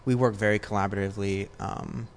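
Low-voiced man, hesitant in conversation. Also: steady tone, quick pace, a little hiss or hum. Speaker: podcast guest, design business partner. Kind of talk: casual conversational interview answers.

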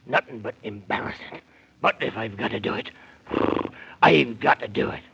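A grumbling animal mount from 1930s children's radio. It mutters in a creaky, gravelly voice, reluctant and put-upon. The recording is muffled and noisy. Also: male voice, fairly low pitch, lively intonation, moderate pace. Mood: grumbling, humiliated.

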